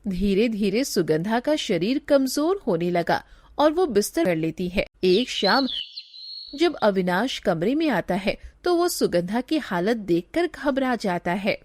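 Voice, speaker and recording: A woman's voice: mid-range voice, big pitch swings, a little slow, a little mellow, slight background noise. A woman giving scripted narration of a story as its narrator.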